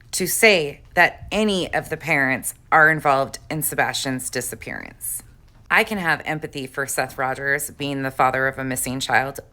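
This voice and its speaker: Bright, low-pitched woman giving commentary. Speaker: commentator reacting to clips. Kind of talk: commentary over played clips.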